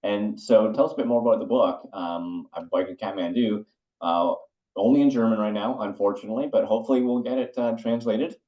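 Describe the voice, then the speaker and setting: A man hosting a podcast interview, giving short relaxed prompts to his guest. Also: fairly low pitch, brisk. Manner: relaxed and encouraging, brief prompts. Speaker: podcast host. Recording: roomy, dull-sounding.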